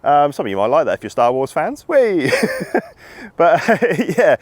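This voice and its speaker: Husky-voiced man with a cold, chatty. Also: a little high, big pitch swings, unhurried, dry recording. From England. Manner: chatty, rambling, upbeat, laughs often. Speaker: metal detecting vlogger. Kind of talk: casual outdoor vlog chat. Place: open wet field outdoors.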